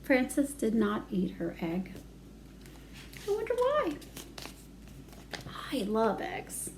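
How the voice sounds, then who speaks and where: A woman's voice: fairly high pitch, huge pitch swings, slow pace, a little mellow, slight background noise, low-quality recording. A woman reading aloud to young children as a storytime educator, singsong, with simple chatty asides.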